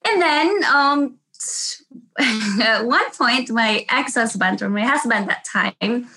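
Woman, Filipino accent, middle-aged, nurse, chatty, laughs a lot, bright tone. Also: a little high, animated, slow, rough recording. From Philippines. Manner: chatty, laughs often, acts out quotes. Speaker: nurse, interview guest. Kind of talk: casual storytelling.

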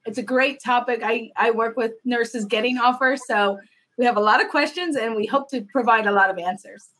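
A woman's voice: fairly high pitch, brisk, a bit bright, poor audio. A woman speaking as a panel guest, chatty and explaining step by step, at times correcting herself. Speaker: sales manager, panel guest. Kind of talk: online panel talk.

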